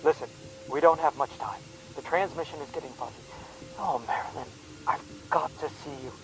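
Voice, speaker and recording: A man's voice: on the high side, fairly quick, close to an everyday voice, rough recording. Man acting anguished space commander, music behind. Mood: desperate. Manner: urgent, pleading, emotional. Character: anguished lovelorn male space commander on the radio. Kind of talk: melodramatic radio drama acting.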